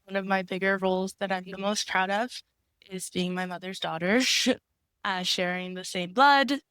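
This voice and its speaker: A woman in her twenties, raspy from a cold, thinking aloud in a casual conversation. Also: mid-range voice, fairly even intonation, moderate pace, fairly bright tone, no room sound. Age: 20s.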